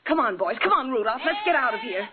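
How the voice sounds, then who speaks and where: A woman's voice: fairly high pitch, sing-song, fast talker, slightly rough. An actress playing a spirited, sardonic, outspoken working woman in a 1940s radio drama. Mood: fed up, biting. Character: spirited, wry, plain-spoken newspaper office woman. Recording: tinny, hissy old radio broadcast.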